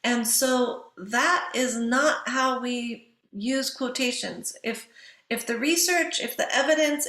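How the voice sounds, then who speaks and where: A woman's voice: a little high, pushed and bright, echoey room. A woman giving an instructional writing lecture in a didactic way, posing questions to listeners and answering them herself.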